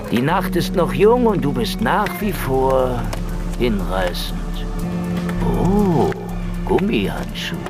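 Sardonic hard-boiled noir antihero. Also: male voice, mid-range voice, big pitch swings, slow pace. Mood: dry, flirtatious irony. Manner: dry, sardonic, laconic. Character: hard-boiled, sardonic Russian antihero Marlov, male, world-weary. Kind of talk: noir radio drama with first-person narration. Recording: loud music behind the voice. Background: loud music.

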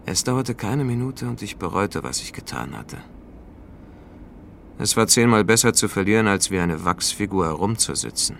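A man's voice: fairly low pitch, lively intonation, normal speed, vocal fry, a bit bright, a little hiss or hum. An actor playing a world-weary space traveller who narrates his mission in a radio play, dry, wry and laconic. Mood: rueful.

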